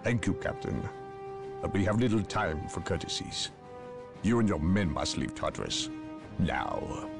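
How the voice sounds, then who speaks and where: An icy inquisitor, low gravelly voice, clipped and condescending, music behind. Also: male voice, lively intonation, measured pace, pauses often, heavily acted. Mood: cold. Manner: clipped, cutting, condescending politeness. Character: cold, arrogant male inquisitor, condescending official. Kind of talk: video game cutscene acting.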